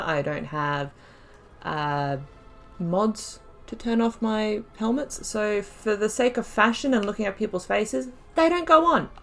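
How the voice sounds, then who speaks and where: A woman gives casual commentary over a game she is playing. Her voice sits at a middle pitch, and she talks in a loose, rambling, chatty way. She is playful and quick to make light of herself. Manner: chatty, rambling, self-deprecating, jokey. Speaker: video game streamer, playthrough host.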